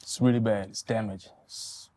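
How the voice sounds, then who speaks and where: A man in his twenties from Tanzania, answering interview questions politely, softly and with loose, hesitant phrasing. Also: lowish voice, steady tone, moderate pace. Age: 20s.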